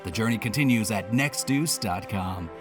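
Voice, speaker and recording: A man's voice: on the low side, lively intonation, normal speed, music in the background. A man reading a scripted advertisement as a promo announcer.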